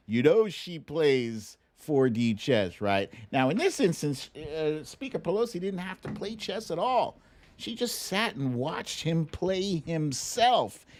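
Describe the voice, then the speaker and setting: A man hosting a casual livestream, chatting through news and viewer comments in a wry, teasing, colloquial way. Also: a little high. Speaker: livestream show host.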